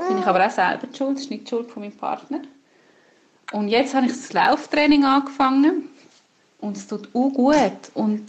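A woman's voice: on the high side, muffled recording. A woman with a Swiss German accent giving a casual personal account as a parent. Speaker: parent sharing experience.

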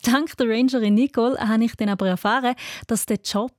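A woman presents children's radio with a Swiss accent. Her voice sits a little high, and she delivers scripted lines to young listeners in a very dry recording. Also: fairly even intonation, brisk, no room sound.

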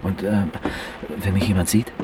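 A man's voice: deep voice, flat delivery, moderate pace, background noise, lightly acted. An actor playing an anxious, reluctant man drawn into a murder plan, hesitant and stammering, in a radio crime drama. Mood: nervous.

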